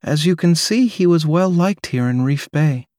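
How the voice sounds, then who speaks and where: A gentle, courteous young mother, voiced by a male narrator in a storybook reading. Her voice sits well above his own and swings about expressively. The recording is close and dry. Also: fairly high pitch, expressive, normal speed, no echo, pitched above the performer's own voice, natural voice. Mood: friendly. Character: polite apologetic young mother, woman. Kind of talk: audiobook character voice.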